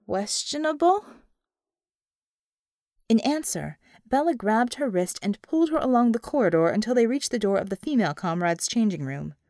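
Animated woman narrating fiction. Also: mid-range voice, fairly quick, no echo, studio quality. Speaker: audiobook narrator.

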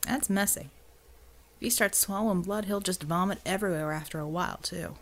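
A woman voicing a gruff, plain-spoken, tough American man in an audiobook story. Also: lowish voice, brisk. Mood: coolly menacing. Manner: colloquial, blunt, coolly menacing when persuading.

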